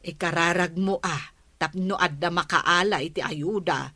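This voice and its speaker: A woman's voice: fairly low pitch, unhurried, pushed and bright, slightly rough, no room sound, clean studio sound, heavily acted. A woman playing a village captain's wife in a radio comedy drama, speaking politely and earnestly.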